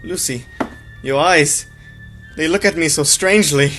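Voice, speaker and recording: A man's voice: a little high, unhurried, sharp tone, faint music behind. A man playing an earnest, impassioned young sweetheart in a radio drama. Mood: tender alarm.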